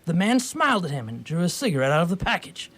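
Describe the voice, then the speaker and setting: A man narrating a scripted radio play as a theatrical, deliberate storyteller. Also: high-pitched, very animated, fairly bright tone.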